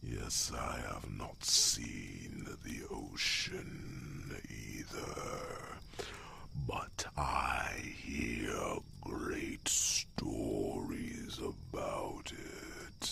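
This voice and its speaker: Very deep gravelly whispering dragon warrior acted by a man. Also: heavily acted. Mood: calm, musing. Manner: slow, drawn-out, with musing hums. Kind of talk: ASMR fantasy roleplay, whispered.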